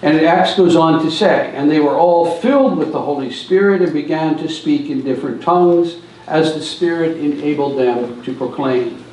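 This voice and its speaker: A man's voice: a little high, moderate pace, hardly any pauses, a little mellow. An older man giving a church seminar talk, telling stories from a lectern in a large hall. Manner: storytelling, stands at a lectern and gestures. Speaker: seminar speaker. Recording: echoey hall from a distance.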